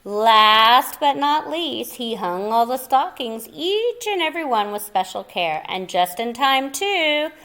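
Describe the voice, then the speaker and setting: A woman storyteller with a high voice reads a picture book to young listeners. Her delivery is theatrical and playful, swinging widely in pitch. She takes her time, with slow phrasing and dramatic pauses. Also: big pitch swings, pushed and bright. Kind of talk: expressive children's picture book read-aloud with asides to listeners.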